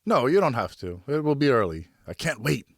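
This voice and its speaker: A man's voice: medium pitch, big pitch swings, a little fast, no echo, studio quality, voice pushed up. A man playing an indulgent father, an eighteenth-century French nobleman, in a hearty and fond manner. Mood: excited, reassuring.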